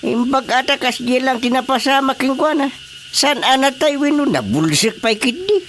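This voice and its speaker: A weary fisherman father grumbles in a radio drama. His pitch swings widely, and faint noise sits behind the voice. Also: animated, normal speed, a bit bright. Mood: concerned, grumbling.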